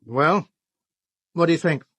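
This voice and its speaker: A man's voice: on the high side, measured pace, a bit bright, no room sound. A British actor playing a shrewd, dryly sardonic police inspector in a radio drama, wry and probing in his questioning. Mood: wry, speculative. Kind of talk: full-cast radio drama.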